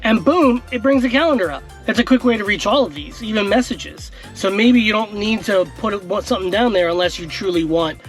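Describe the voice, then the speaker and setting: A man's voice: sits high, a little fast, few pauses, soft background music. A man in his forties, a tech vlogger, casually walking through a car's screen from inside a parked car, with lots of fillers. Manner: chatty, relaxed, filler-heavy. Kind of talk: casual demo walkthrough. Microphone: action camera in car.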